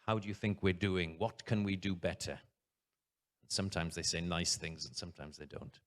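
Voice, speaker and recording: Brief: male head teacher, lowish voice, earnest conference speech with frequent pauses, stage mic. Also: steady tone. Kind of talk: conference talk.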